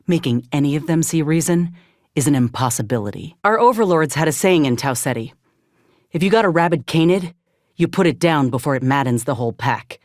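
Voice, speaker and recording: An actor, a woman, playing an earnest, steadfast ex-soldier in a space frontier video game, speaking in a plainspoken, measured drawl. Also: low voice, darkish tone, no echo, studio quality. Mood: bitter, disillusioned. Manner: folksy, plainspoken, measured delivery. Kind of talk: video game character dialogue.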